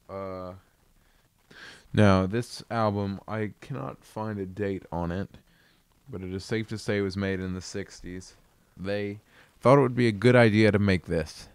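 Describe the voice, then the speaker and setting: A man hosting a casual video, chatting about an album in a relaxed, amused, rambling way. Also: deep voice, no room sound. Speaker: video host reviewing an album. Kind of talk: casual commentary.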